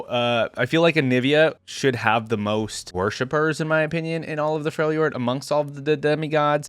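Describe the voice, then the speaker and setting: A man's voice: medium pitch, no echo. Casual man reading lore, dry close sound. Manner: casual asides, often tags points with "right?". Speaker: reaction video host.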